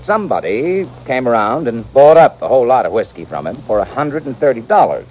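Calm Old West town doctor: American, middle pitch with lively swings, wry, muffled vintage radio sound. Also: male voice, a little fast. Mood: shrewd. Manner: soothing, reasoning, mildly wry. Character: level-headed, calming frontier town doctor, a man. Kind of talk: old-time radio western acting. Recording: dull, faintly hissy vintage radio recording.

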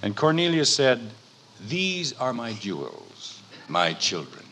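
A man's voice: mid-range voice, wildly swooping pitch, slow pace, creaky, noisy background, heavily acted. A man playing an exasperated, lecturing father in an old-time radio sitcom, with a dry, put-upon manner. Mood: dryly weary.

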